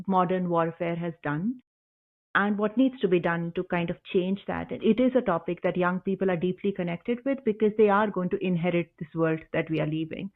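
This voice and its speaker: A woman hosting an interview, earnest and engaged, building her questions at some length before asking them. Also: fairly low pitch. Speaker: podcast host, interviewer. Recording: tinny phone-like line.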